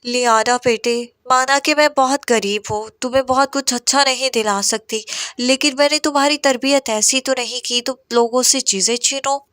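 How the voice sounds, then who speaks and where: A woman's voice: high voice, fairly even intonation, moderate pace, a bit bright, no room sound, studio quality, pitched above the performer's own voice. A woman voicing a poor, anxious, honest housemaid mother in a cartoon story, earnest and pleading. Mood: reproachful.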